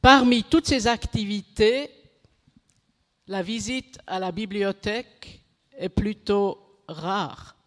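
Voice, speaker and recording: A woman giving a conference talk in French, which is not her first language, halting and correcting herself as she goes. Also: mid-range voice. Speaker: conference speaker. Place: conference hall. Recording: reverberant hall.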